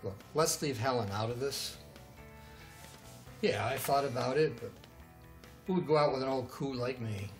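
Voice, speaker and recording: An actor in his sixties playing a gruff, wounded older American man, blunt and sardonic, in naturalistic film dialogue. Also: medium pitch, moderate pace, long pauses, faint music behind, close to an everyday voice. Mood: dry, guarded. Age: 60s.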